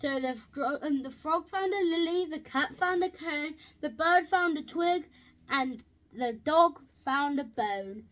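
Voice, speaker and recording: A woman reading a rhyming children's picture book aloud, haltingly, stumbling and repeating words as she goes. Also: high-pitched, a bit bright. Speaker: storybook reader.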